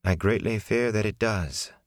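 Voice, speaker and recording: Brief: grave female sorceress, read by a male narrator, low and restrained, dry sound. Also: moderate pace, no room sound, natural voice. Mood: grim. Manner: grim and controlled. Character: grave, commanding sorceress woman.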